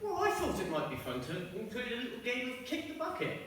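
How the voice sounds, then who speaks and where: A man's voice: very high-pitched, huge pitch swings, brisk, fairly bright tone, distant mic, slight background noise, heavily acted. A man playing a sheepish, flustered actor. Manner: hesitant, flustered. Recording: echoey hall, picked up from afar.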